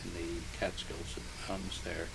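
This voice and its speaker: An older man speaks in a low, gravelly voice with a flat, even delivery, pausing often and filling gaps as he searches his memory. The noisy archival tape hisses clearly behind him. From Hungary, raised in New Jersey. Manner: slow, halting, many pauses and fillers. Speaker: interviewee. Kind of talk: oral history interview.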